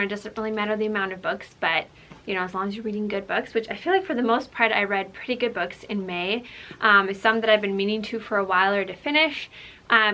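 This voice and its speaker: A woman's voice: mid-range voice, pushed and bright. A woman from Ukraine whose first language is Russian, chatting casually to camera as a book vlogger, rambling with lots of "like" and "um".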